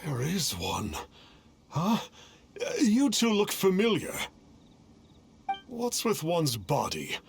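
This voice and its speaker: A man playing a pompous, theatrical, bewildered ghost of a fraudster in a video game, with a creaky voice and affected manner. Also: a little high, huge pitch swings, slow pace, pauses often, full character voice. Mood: bewildered, dramatic. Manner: affected, calls himself "one", dramatic. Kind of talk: game character dialogue.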